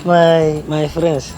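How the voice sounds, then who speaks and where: Skit comic: a woman as a giggly, hesitant young woman with a Nigerian Pidgin accent, slow delivery. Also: deep voice, warm tone, low-quality recording. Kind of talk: comedy skit acting.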